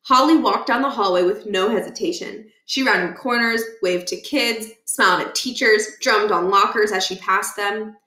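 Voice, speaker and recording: A woman reading a children's book aloud as expressive narration, with casual explanatory asides. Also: mid-range voice, moderate pace, hardly any pauses. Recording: echoey room.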